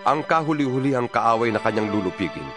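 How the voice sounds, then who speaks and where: Male scripture reader, solemn and emphatic, animated intonation, music bed underneath. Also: medium pitch, fast talker. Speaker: Bible reader. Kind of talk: dramatized scripture reading.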